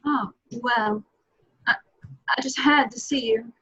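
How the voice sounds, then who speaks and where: A woman playing a sultry, pleading cartoon femme fatale in an amateur table read of a film script, with a breathy delivery. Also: a little high, steady tone, slow pace, bright, forceful tone, heavily acted. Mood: coy, nervous. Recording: dull, lo-fi home recording.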